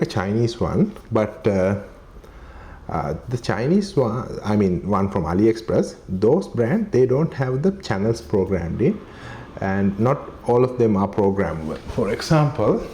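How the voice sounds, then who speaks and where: A man giving a casual, low-key and somewhat hesitant unboxing and installation walkthrough. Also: sing-song, unhurried, warm tone. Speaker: vlogger demonstrating a product. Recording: clearly noisy, vehicle ambience.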